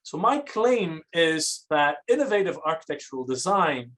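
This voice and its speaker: A man from Belgium, giving an academic architecture lecture in a measured, explanatory manner. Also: fairly high pitch, sing-song, fairly bright tone.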